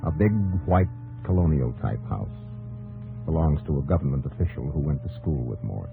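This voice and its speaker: Deep-voiced male radio drama narrator. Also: animated, normal speed, gravelly. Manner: clipped, dramatic, wry. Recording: dull old radio broadcast, music behind.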